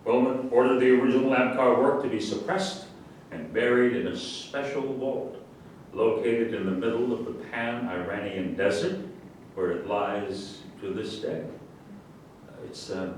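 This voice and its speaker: A man's voice: unhurried, natural voice. A man in a staged reading playing a pompous, indignant general who lectures emphatically. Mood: pompous, lecturing. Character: pompous, indignant male general lecturing pseudo-scientific nonsense. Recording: distant echoey room with faint rumble.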